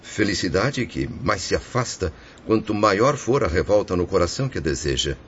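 Man acting as deep-voiced, remorse-ridden ruined merchant spirit. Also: expressive, moderate pace. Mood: sorrowful, resigned. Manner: solemn, declamatory, formal. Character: remorseful male suicide spirit, ruined merchant, resigned yet anguished. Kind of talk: religious radio drama acting. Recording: dull lo-fi audio with faint noise.

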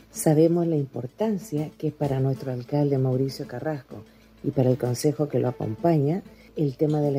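A Chilean woman makes an official statement in a very dark, soft tone. Her pitch is a little low, and faint music plays behind her. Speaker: municipal community development director. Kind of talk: interview statement.

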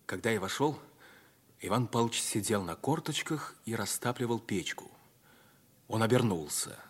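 An actor playing an earnest, reflective man narrating his own life in a 1950s radio play, with deliberate stage diction. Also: lowish voice, expressive, slightly rough. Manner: emphatic, deliberate stage diction with dramatic pauses. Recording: old radio recording, music underneath.

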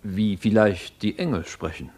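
An actor playing a dignified, loyal court official in a classical radio drama, with a formal, earnest, declamatory delivery. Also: male voice, fairly low pitch, lively intonation, measured pace, a little mellow, slightly rough, heavily acted. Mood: earnest pleading.

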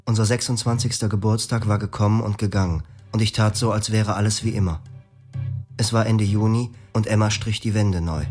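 A man's voice: lowish voice, brisk, natural voice. A man playing a brooding young husband narrating. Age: 20s. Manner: calm, reflective, poetic narration. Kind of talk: radio drama narration. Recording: radio play with music underneath.

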